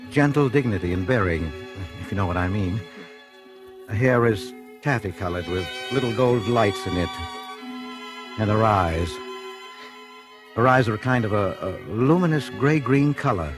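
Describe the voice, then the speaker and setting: An actor playing a meek, nervous husband with a hesitant, stammering delivery in 1940s radio drama style. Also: male voice, on the low side, measured pace, pauses often, close to an everyday voice. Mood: flustered, nervous. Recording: 1940s radio recording with music.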